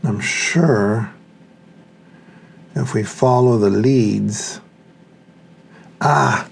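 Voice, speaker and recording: A man's voice: fairly low pitch, extremely slow, gravelly, husky. A man thinking aloud in a casual, unscripted, tentative way as he reviews a product. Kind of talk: casual unscripted unboxing commentary.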